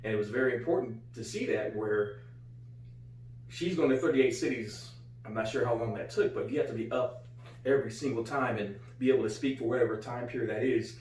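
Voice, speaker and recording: A man's voice: mid-range voice, fairly quick, a little mellow, recorded from a distance. A middle-aged man with an American accent chatting casually as a co-host, repeating phrases for emphasis. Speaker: co-host, a father. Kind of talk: casual chat. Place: small living room, on a couch. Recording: roomy, off-mic, faint hum.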